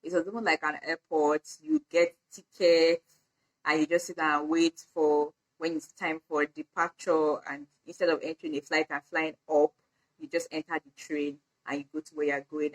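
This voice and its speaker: Woman, young adult, Nigerian accent, low-pitched, chatty vlog, frequent fillers. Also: poor audio. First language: Edo. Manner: chatty, laughs often, fillers like "like". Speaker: vlogger. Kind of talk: casual get-to-know-me vlog.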